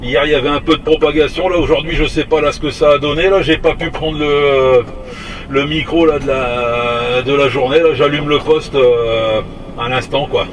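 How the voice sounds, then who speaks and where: A man's voice: a little high, normal speed. A man chatting casually as a CB radio operator, in a hesitant, rambling way. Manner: hesitant, rambling, tag words at phrase ends. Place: inside a moving vehicle. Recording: distant mic, steady vehicle noise.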